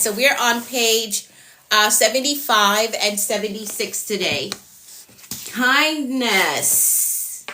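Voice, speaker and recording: A woman's voice: on the high side, pushed and bright, slight background noise. A woman with a Jamaican accent, a productivity coach giving a live-stream talk, repeating her key points and greeting viewers by name.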